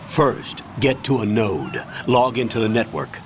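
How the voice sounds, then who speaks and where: An actor playing a stern, authoritative older military colonel in a video game, commanding, measured and formal as he gives a mission briefing. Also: on the low side, big pitch swings, noisy background. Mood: briefing, businesslike.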